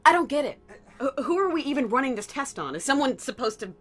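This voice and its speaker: A woman's voice: high voice, big pitch swings, fast, breathy edge, full character voice. A woman playing a loyal, skeptical deputy in an audio drama, frank and plainspoken. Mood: skeptical, alarmed. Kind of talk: noir audio drama acting. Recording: music bed under voice.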